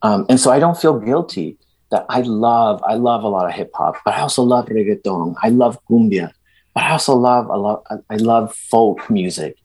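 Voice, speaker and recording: Salvadoran-born guy, middle aged, kinda husky low voice, animated, lots of restarts. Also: moderate pace. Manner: animated, many restarts, emphatic asides. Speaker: guest. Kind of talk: conversational interview.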